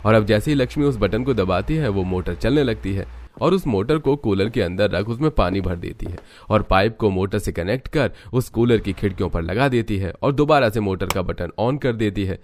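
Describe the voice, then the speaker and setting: Low-voiced male cartoon story narrator. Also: moderate pace, slight background noise.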